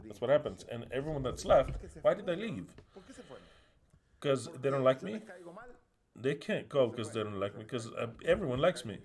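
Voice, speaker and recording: A man with an Argentinian accent preaching a sermon, drilling his listeners to repeat phrases after him and swinging between loud stresses and quiet asides. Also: mid-range voice, sing-song, moderate pace, pauses often.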